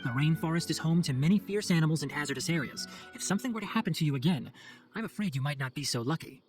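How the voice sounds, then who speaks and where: A man's voice: on the high side, animated, fast, close to an everyday voice. An actor playing a clever, exacting young male chief forest ranger in a video game, articulate and instructive with dry sarcasm. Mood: cautioning. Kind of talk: video game cutscene acting. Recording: faint music behind the voice.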